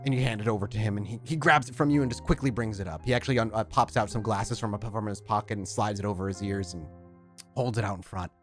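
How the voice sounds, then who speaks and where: A man's voice: lowish voice, fairly even intonation, fast talker, soft background music. Casual man narrating as storyteller. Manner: casual, filler-heavy narration. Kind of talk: narrating a tabletop game.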